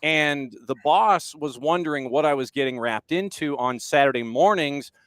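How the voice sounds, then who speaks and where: A man's voice: fairly high pitch, moderate pace, fairly bright tone, no room sound, clean studio sound. A man hosting a talk show, asking long, rambling questions in a casual way. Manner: rambling, conversational questions with many restarts. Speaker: radio show host. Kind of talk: casual interview.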